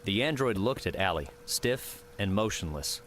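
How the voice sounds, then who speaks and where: A man's voice: lowish voice, animated, normal speed, a bit bright, a little hiss or hum. A man reading scripted audiobook narration as the storyteller.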